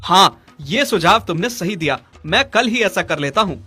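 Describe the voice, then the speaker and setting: A man's voice: high-pitched, animated, moderate pace, pushed and bright, husky, heavily acted. A man playing a patient young cow owner in a cartoon story, earnest and mild in manner. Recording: music behind the voice.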